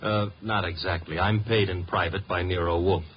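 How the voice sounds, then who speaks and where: An actor playing a streetwise, wisecracking private detective's assistant in a 1950s radio drama, with a breezy, sardonic, slangy manner. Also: male voice, low voice, steady tone, normal speed, husky. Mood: wry. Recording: muffled, noisy 1950s radio recording.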